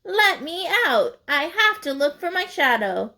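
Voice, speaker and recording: Female reader as a protesting storybook groundhog fellow, high and emphatic, drawn-out words. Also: big pitch swings, bright, forceful tone, exaggerated voice. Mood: indignant. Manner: exclaiming, emphatic. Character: indignant male groundhog, kidnapped, shouting and protesting. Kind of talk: children's storybook read-aloud.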